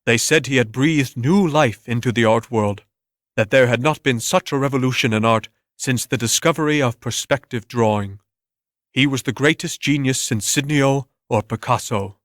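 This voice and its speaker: A man narrates a scripted story at a medium pitch. The recording is dry, with very little room sound around his voice. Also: moderate pace, a bit bright, dry recording.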